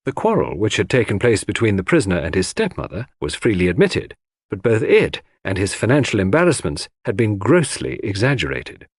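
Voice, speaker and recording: A man reading classic crime fiction as a scripted audiobook, in formal, measured storytelling. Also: fairly low pitch, sing-song, slightly rough, dry recording, studio quality. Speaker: audiobook narrator.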